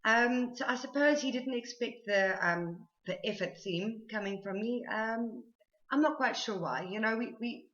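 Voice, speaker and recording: A woman's voice: on the high side, moderate pace, a bit bright, dull audio. A South African woman chatting casually to camera for her vlog, rambling with lots of ums and restarts. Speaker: vlogger.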